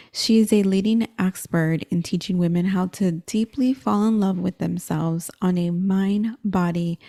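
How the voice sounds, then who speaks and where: A woman's voice: lowish voice, warm tone, dry recording, studio quality. A woman hosting a career podcast, upbeat and encouraging as she introduces guests and asks questions. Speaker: podcast host, career coach. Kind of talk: scripted intro then casual interview.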